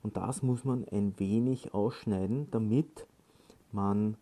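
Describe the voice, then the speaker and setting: Casual male tutorial presenter, very dark soft-edged tone, slow and hesitant delivery. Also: lowish voice. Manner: halting, hesitant delivery with frequent filled pauses. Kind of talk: casual hands-on instructional talk.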